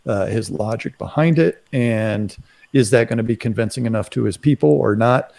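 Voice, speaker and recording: A man in a casual current affairs discussion, speaking haltingly and often tagging his phrases with "right". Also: medium pitch, gravelly, a little mellow. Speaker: commentator on a live talk show.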